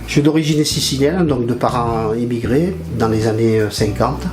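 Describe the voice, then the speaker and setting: A man's voice: mid-range voice, normal speed, background noise. Older man, roomy studio. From France. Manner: hesitant, repeats words often. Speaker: painter. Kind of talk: casual interview in his studio. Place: painting studio. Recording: roomy studio with music over it. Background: guitar music.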